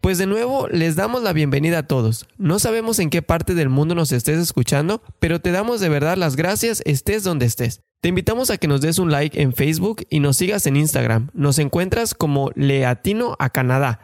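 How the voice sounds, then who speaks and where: A man's voice: fairly high pitch, lively intonation, a little fast, hardly any pauses, darkish tone, no echo, clean studio sound. A man co-hosts a casual podcast. He explains things in a friendly, chatty way. Faint music plays under his voice. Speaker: podcast co-host.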